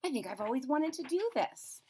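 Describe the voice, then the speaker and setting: A woman's voice: high voice, sing-song, darkish tone, slightly rough, pitched above the performer's own voice, heavily acted. A woman voicing a small, wistful toy bear from a storybook, earnest and full of wonder. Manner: earnest, wondering, drawn-out exclamations.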